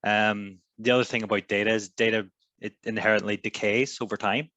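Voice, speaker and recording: A man on a webinar panel, explaining in a measured way with frequent ums. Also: lowish voice, little pitch movement, pushed and bright, no room sound, dull audio.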